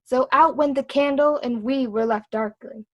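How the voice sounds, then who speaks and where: A woman's voice: sits high, normal speed, dull audio. A woman playing a witty, cheeky court jester in a Shakespearean reading, with a mocking, sing-song delivery.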